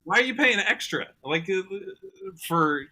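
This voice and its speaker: A man's voice: high voice, sing-song, measured pace, bright, forceful tone. An American man chatting casually as a guest on a podcast, earnest and enthusiastic in the way he talks. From United States, Washington state. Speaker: podcast guest, country musician.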